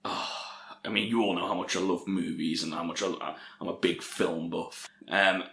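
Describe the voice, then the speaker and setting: A man with a British accent and a fairly low voice co-hosts a casual podcast. He is easygoing and self-deprecating, and the room around him is noticeably echoey. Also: fairly even intonation, normal speed, gravelly, fairly bright tone. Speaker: podcast co-host. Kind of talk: casual chat between friends. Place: room at home, no studio.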